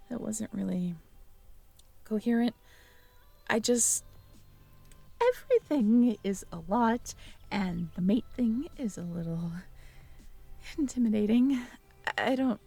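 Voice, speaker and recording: Soft, stammering young woman with faint music. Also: on the high side, gravelly. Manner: hesitant, stammering, soft-spoken. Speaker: audio drama performer speaking to the listener. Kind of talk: intimate audio roleplay.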